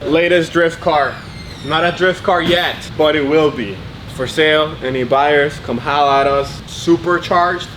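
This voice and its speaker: A man's voice: fairly high pitch, unhurried, a bit bright, a little hiss or hum, rough recording. A man co-hosting a car shop vlog, joking and hyping up the vehicles in casual banter. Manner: playful, hype-man banter. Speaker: shop worker and vlog co-host.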